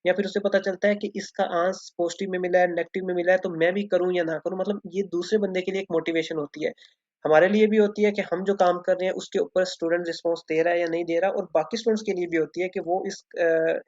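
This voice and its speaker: A man's voice: sits high, flat delivery, fast, a little mellow, dry recording. A man with a Pakistani accent giving an informal, earnest explainer to students, instructive and repetitive, mixing English phrases into his speech. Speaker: student-community video host. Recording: dull, lo-fi close dry voice recording.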